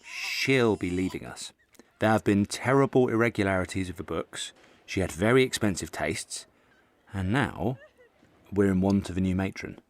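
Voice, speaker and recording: An awkward genteel Georgian official in an audio drama. His low voice rises and falls a good deal as he picks his way delicately through his words. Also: expressive, moderate pace. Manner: hesitant, delicate. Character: awkward, genteel eighteenth-century gentleman official. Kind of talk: period audio drama acting.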